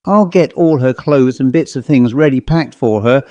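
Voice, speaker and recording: A man voicing a polite, guarded male servant in an audiobook story, deferential and measured in manner. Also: mid-range voice, warm tone, dry recording, highs cut off, natural voice. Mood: deferential, uneasy. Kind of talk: audiobook character voice.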